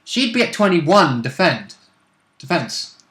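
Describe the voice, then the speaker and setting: A man with a fairly high, bright and forceful voice talks as a player at a tabletop game. He chats casually about the game and its characters. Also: very animated, slow.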